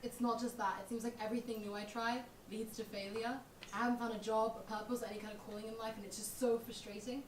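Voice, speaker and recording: A woman's voice: on the high side, little pitch movement, fast talker, natural voice. A young woman playing a defensive, self-doubting roommate in a comedic stage play. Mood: frustrated, dejected. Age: young adult. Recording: echoey room, distant mic, clear background noise.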